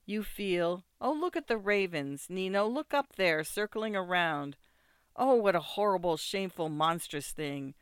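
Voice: mid-range voice, animated, measured pace, hardly any pauses, a bit bright, clean tone, dry recording, clean studio sound. A narrator voicing a distraught, headstrong young wife in a storybook, exclaiming, pleading and reproaching. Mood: pleading, reproachful.

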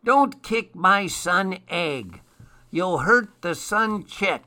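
Guy doing a little storybook elf, eager n earnest, pitched up, really swoopy. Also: very animated, takes it slowly, pushed and bright, exaggerated voice. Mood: alarmed pleading. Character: excitable little elf, proud and protective.

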